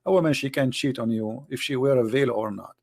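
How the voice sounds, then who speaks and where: A man's voice: mid-range voice, fairly quick, vocal fry, darkish tone. A man hosting a live stream, talking in emphatic, broken English with a preaching manner. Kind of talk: live stream call conversation.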